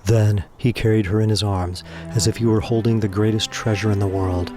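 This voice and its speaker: A man's voice: on the low side, steady tone, fairly quick. A man narrating a scripted audio drama in a theatrical, suspenseful storytelling manner. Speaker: narrator and voice actor. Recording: music behind the voice.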